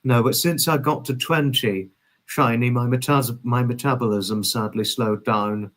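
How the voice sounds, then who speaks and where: A man's voice: medium pitch, steady tone, measured pace, a bit bright. A man in his twenties chatting casually as a livestream host, reading and answering viewers, effusively polite and wordy, often repeating his phrases.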